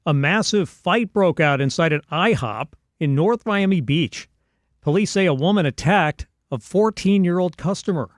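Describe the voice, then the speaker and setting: A man's voice: high voice, animated, normal speed, no room sound. A man co-hosting a radio show, mixing news reading with casual commentary, close on a studio microphone. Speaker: radio co-host and news reader.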